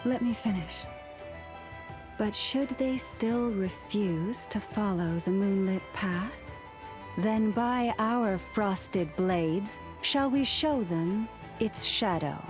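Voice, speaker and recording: Slow, serene priestess-like leader played by a woman. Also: medium pitch, over music, lightly acted. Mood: quietly menacing. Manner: solemn, measured, formal. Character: serene, dignified female religious leader, gently firm. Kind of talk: video game voice acting.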